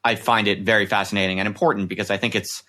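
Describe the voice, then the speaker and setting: A man's voice: fairly low pitch, fairly even intonation, very fast, pushed and bright. A man hosting a conversational interview, earnestly working through long, wordy, reflective questions. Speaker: interviewer, podcast host.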